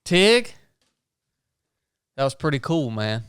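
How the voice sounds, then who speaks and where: A man's voice: mid-range voice, very animated, slow, fairly bright tone, smooth, no echo, studio quality. A man hosting a podcast, talking in an earnest, folksy, casual way and often tagging his sentences with "man". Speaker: podcast host. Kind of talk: casual interview chat.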